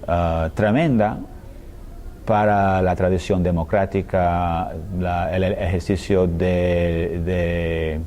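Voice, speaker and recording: A man, a scholar answering interview questions in a language that is not his one, speaking hesitantly with many fillers, pauses and restarts and often ending phrases with a questioning tag. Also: low-pitched, flat delivery, mellow, slight background noise. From United States. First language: English. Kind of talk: thoughtful conversational interview answers.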